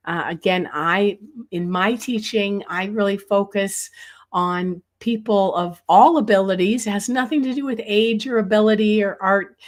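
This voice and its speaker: A middle-aged woman with a chest cold, hoarse, teaching a live-streamed nature sketching lesson from a room at home, rambling with many ums and uhs. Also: mid-range voice.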